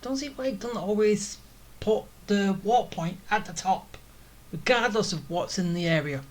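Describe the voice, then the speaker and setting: A woman's voice: mid-range voice, moderate pace. A woman giving casual, blunt gameplay commentary, often muttering asides to herself. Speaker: gaming video host. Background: game music behind the voice.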